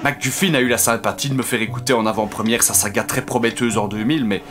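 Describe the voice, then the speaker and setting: An eccentric older man, played by an actor, rambles with nostalgic enthusiasm. His voice is mid-pitched and hesitant, in a comic audio drama over faint music. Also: fairly even intonation, fast, close to an everyday voice. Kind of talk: comedic audio drama acting.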